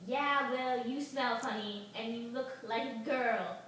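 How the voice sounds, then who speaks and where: A woman's voice: fairly high pitch, fairly even intonation, measured pace, lightly acted. A woman voicing a snide, petty male presidential candidate in a puppet show, in an echoey recording. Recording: echoey room, distant mic, faint noise.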